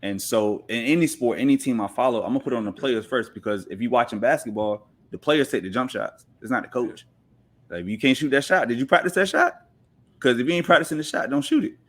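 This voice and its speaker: A man's voice: mid-range voice, expressive, quick pace, a bit bright. A man talking casually and with strong opinions as a podcast guest, making emphatic points with rhetorical questions and repeats. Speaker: podcast guest, football pundit. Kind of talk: opinionated casual sports talk.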